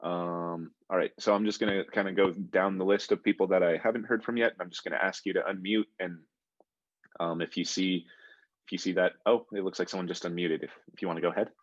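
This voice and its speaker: Low-voiced man teaching over a video call. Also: steady tone, no echo. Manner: friendly, halting with many ums and restarts. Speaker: coding instructor. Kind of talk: online class, calling on students. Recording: muffled video call audio.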